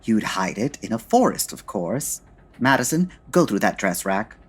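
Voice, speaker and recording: An actor playing a suave, wry gentleman detective with husky, urbane delivery in a comedic radio-style drama. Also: lowish voice, big pitch swings, moderate pace. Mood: thoughtful.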